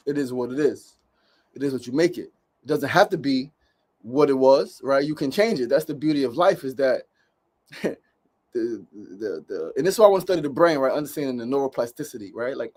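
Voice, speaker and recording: A man's voice: medium pitch, animated, moderate pace, darkish tone. A man, hosting a casual livestream show, rambling and upbeat, tagging "right?" onto his points. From United States. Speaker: podcast host.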